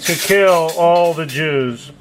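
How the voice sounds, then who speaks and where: A man's voice: on the high side, takes it slowly. A man telling a story as narrator of an amateur puppet show. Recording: faint background noise.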